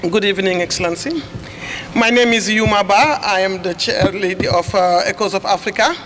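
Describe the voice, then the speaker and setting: A woman from Senegal with a Senegalese accent, giving an earnest, halting welcome speech. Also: medium pitch, background noise. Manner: earnest, halting, searching for words. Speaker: chair of a community organization. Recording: echoey hall with faint clicks.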